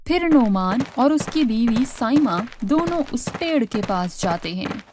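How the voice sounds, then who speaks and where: A woman's voice: fairly high pitch, animated, unhurried, noisy background. A woman reading scripted narration for a children's story.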